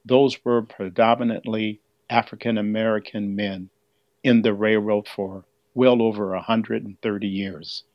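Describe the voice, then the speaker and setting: A man's voice: on the low side, steady tone, unhurried, no echo. An older man explaining history as an interview guest, heard over a dull, band-limited line. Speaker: museum exhibit curator, interview guest.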